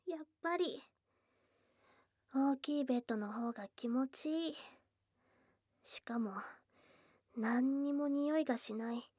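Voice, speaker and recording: A woman's voice: high-pitched, a little mellow, no room sound, clean studio sound. A woman playing a young goblin girl, a blunt, teasing yet shy girlfriend, in an intimate ASMR voice drama. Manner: blunt tomboyish speech, teasing and bashful. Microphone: close dry studio microphone.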